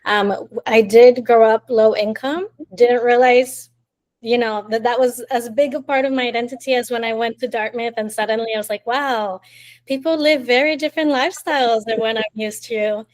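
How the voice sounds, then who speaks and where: Female guest, late twenties, from Colombia, slightly high-pitched, warm and chatty. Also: steady tone, normal speed. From Colombia, raised in Miami. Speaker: interview guest. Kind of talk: casual storytelling in an interview.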